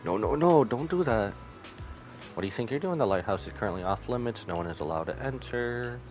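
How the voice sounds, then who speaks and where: A man's voice: medium pitch, animated, fairly quick, darkish tone, soft background music, natural voice. A man voicing a cautious, scolding old man who guards a lighthouse in a video game. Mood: stern, scolding. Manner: stern, admonishing.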